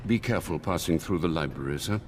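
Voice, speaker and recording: A man acts a loyal old British butler for a video game drama. His low voice moves with dry, fearless wit, over loud noise in a reverberant space. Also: fast, lightly acted. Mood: quietly stern. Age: older.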